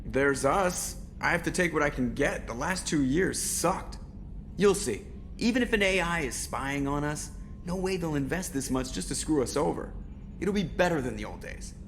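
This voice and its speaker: Animated, wisecracking pilot. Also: male voice, on the high side, normal speed, slight background noise. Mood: wry. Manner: sardonic, excitable. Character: wisecracking, sarcastic male starship pilot. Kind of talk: video game voice acting.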